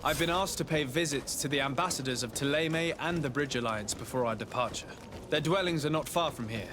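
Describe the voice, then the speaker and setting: An actor playing an earnest young nobleman and dutiful son in a video game, polite, measured and formal. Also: mid-range voice, noisy background, close to an everyday voice. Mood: calm, courteous. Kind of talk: video game drama acting.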